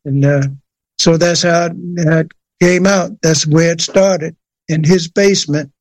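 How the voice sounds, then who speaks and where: An older man with a somewhat high voice recalls his early days in a relaxed, halting way, full of "uh"s and restarts. The sound is close and dry, with no room around it. He takes his time, a singer chatting about how he got started. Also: dry recording, poor audio. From USA, New Jersey. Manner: hesitant, many fillers and restarts. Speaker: guest singer. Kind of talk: relaxed interview storytelling.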